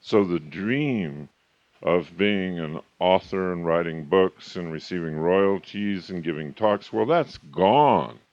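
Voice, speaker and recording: A man in his fifties or older speaks in a low, highly animated voice, pressing his points as a motivational coach. He stretches out key phrases for emphasis. The recording is dry and a little dull. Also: slow pace, dry recording. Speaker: coach and author. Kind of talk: motivational talk. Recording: slightly muffled, dry recording.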